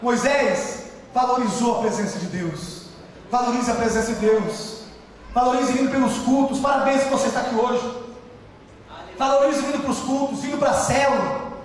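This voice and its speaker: A man with a Brazilian Portuguese accent preaching a sermon as pastor, often shouting for emphasis. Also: very high voice, a little hiss or hum. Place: large church hall. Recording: echoey church hall, distant mic.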